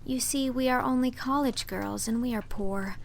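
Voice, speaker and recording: A woman voicing an earnest, impulsive college girl in an audiobook, with a gentle, hesitant and heartfelt delivery. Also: a little high, moderate pace, gravelly, slight background noise, voice pushed up. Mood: hesitant, disappointed. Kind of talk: audiobook character voice.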